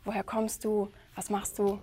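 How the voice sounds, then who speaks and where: A woman's voice: mid-range voice, completely flat delivery, moderate pace, warm tone, husky. A woman hosting an interview, friendly and attentive, asking questions with many listening sounds. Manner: friendly, asks questions, many "mhm" fillers. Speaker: host. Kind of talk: interview host.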